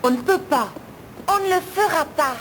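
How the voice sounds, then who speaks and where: High-voiced, earnest cartoon hero. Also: female voice, expressive, normal speed, a bit bright, slight background noise. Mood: defiant, upset. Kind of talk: cartoon dub acting in French.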